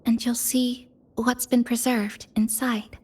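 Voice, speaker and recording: An actress playing a composed young woman in a video game, speaking in a measured, reflective way. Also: on the high side, little pitch movement, darkish tone, husky. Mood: calm, explaining. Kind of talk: video game cutscene voice acting.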